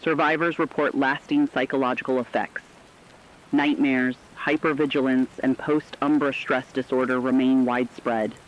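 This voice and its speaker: A man playing a sober radio broadcaster, reading a scripted post-catastrophe report in an earnest, measured way. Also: mid-range voice, steady tone, highs cut off, lightly acted. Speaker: radio announcer.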